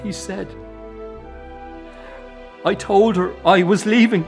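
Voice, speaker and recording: An actor in a radio drama playing a weary, earnest circus boss and troubled son, with an Irish accent. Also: male voice, sits high, steady tone, slow pace, soft background music. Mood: grieving, haunted.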